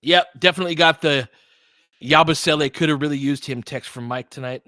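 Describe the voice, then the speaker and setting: A man's voice: fairly high pitch, a little fast, pushed and bright, no echo, studio quality. A man hosting casual live basketball commentary and analysis, thinking aloud in a conversational way. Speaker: host, fan play-by-play commentator.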